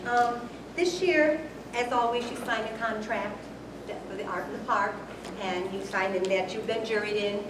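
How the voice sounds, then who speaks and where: A woman heading an event committee, loudly and chattily explaining rules at a club meeting. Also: mid-range voice, moderate pace, noisy background. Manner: chatty, explains rules, loud without a microphone. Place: meeting hall. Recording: echoey hall, voice from far off.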